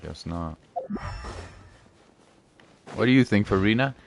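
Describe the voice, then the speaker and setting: A man's voice: fairly low pitch, animated, slow pace, gravelly, slight background noise. A man giving casual gameplay commentary, muttering to himself with playful asides. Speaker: gaming streamer. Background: video game music.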